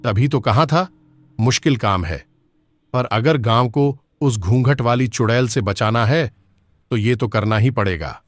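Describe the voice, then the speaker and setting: Man playing a solemn village priest, medium pitch. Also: unhurried, no echo, natural voice. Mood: grave. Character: grave, instructive male village priest. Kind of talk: Hindi cartoon story acting. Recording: faint music behind.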